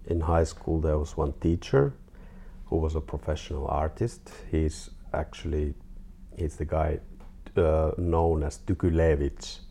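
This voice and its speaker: A 42-year-old man from Finland with a Finnish accent, talking casually and haltingly as an interview guest. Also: extremely low pitch, dark tone, breathy edge. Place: artist's studio.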